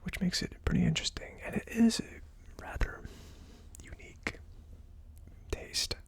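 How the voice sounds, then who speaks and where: A person whispering in an ASMR style as host of a tasting video, with a tentative, musing delivery. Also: measured pace. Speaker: host of a whiskey tasting series.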